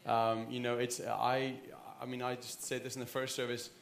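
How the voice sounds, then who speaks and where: A man's voice: medium pitch, level tone, normal speed, creaky, roomy sound, slight background noise. A man in his thirties with a South African accent, preaching an earnest, conversational sermon with frequent restarts. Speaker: pastor. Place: church sanctuary.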